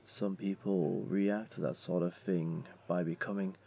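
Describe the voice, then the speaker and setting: A man's voice: low voice, flat delivery, measured pace, gravelly, dark tone, full character voice. A man playing a wealthy, flirtatious jaguar beastling in a comforting audio roleplay, tender and teasing, with a coaxing, purring delivery. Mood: warm, admiring.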